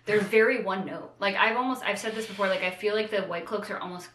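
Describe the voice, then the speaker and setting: A woman co-hosting a casual book discussion, retelling in an animated way with playful, slangy asides. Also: medium pitch, fast, a little hiss or hum. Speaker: co-host of a book discussion show. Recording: roomy echo.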